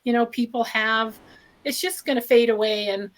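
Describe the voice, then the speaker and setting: A woman speaks on a group video call, her voice worn down by an oncoming illness. She stays upbeat and earnest, her pitch sitting slightly high, and she leans on frequent ums as she thinks. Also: fairly even intonation, normal speed, low-quality recording. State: voice going from illness. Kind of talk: casual testimonial on a group call.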